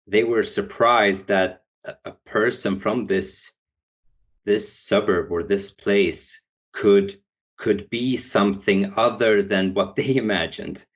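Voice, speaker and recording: Swedish-raised young guy, podcast guest, lowish voice, stop-start phrasing. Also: slow. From Sweden. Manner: hesitant, many restarts and fillers. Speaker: guest, founder. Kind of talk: podcast interview.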